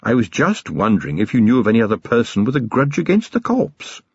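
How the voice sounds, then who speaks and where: A man's voice: medium pitch, huge pitch swings, a little fast, vocal fry, husky, no echo, muffled recording, exaggerated voice. A man voicing a cold, ironic middle-aged city tyrant who speaks in a dry, precise, sardonic way.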